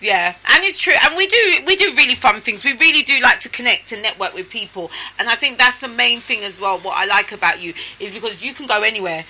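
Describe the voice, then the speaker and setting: A woman hosting a casual interview, lively and chatty, often laughing as she talks. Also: a little high, fast, hardly any pauses, low-quality recording. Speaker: host.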